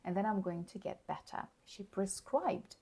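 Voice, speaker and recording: Hoarse woman teaching slow English. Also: medium pitch, warm tone. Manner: explains words plainly, checks in with "okay?" and "all right?". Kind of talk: slow, clear teaching monologue.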